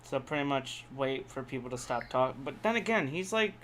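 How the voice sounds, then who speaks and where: A man's voice: mid-range voice, expressive, a little fast, crowd noise. A man co-hosting a podcast with blunt, sweary, casual banter. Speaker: podcast co-host.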